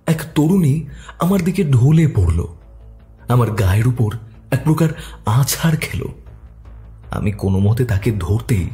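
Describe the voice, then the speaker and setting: A man tells a detective story in the first person, reading with lively expression. His voice is mellow and mid-pitched. Soft music plays quietly beneath him. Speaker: narrator and detective's companion. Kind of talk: scripted story narration.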